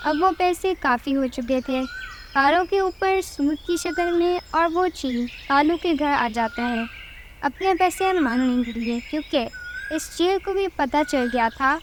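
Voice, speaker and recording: A woman's voice: high voice, unhurried, a little hiss or hum. A woman reading scripted narration for a children's cartoon moral story.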